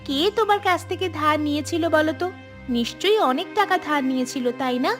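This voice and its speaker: A woman plays a young unmarried daughter in a cartoon drama. Her voice is high, and she speaks earnestly, practical and gently firm, over clearly audible music. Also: expressive, fast. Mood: reassuring, firm. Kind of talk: cartoon dialogue.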